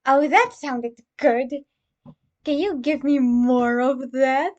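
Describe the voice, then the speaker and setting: A woman voicing a smug, dominant young man in a fan story, with a drawn-out, teasing, commanding delivery. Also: high voice. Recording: dull lo-fi recording.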